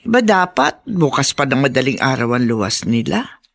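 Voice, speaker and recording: A male actor playing a concerned, inquisitive friend in a Filipino radio drama, with expressive, drawn-out questions. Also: mid-range voice, vocal fry.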